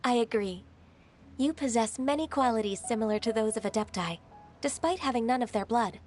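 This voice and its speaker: A gentle, earnest young half-divine woman from a video game, voiced by a woman, calm, polite and soft-spoken. Her voice sits a little high, with faint game music underneath. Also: fairly quick, lightly acted.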